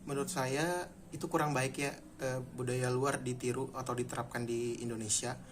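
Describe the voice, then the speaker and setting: A man's voice: mid-range voice, steady tone, normal speed, background noise. A man in his twenties answering interview questions in an orderly way, going through his points one by one. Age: 20s. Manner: structured, lists points in order. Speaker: interviewee, private-sector hospital employee. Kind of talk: casual spoken answers.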